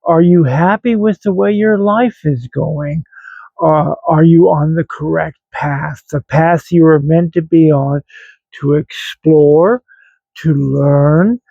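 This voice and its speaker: A man in his seventies with an American accent, talking reflectively and haltingly to online viewers in a rambling personal vlog. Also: on the high side, creaky, no echo, phone-quality sound. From grew up in West Virginia, United States. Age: 70s. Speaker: vlog host.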